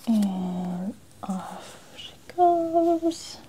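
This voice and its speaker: A soft-spoken woman in an ASMR role-play as a patdown agent, giving polite step-by-step instructions and often slipping into whispers. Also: on the high side, big pitch swings, very slow, slight background noise.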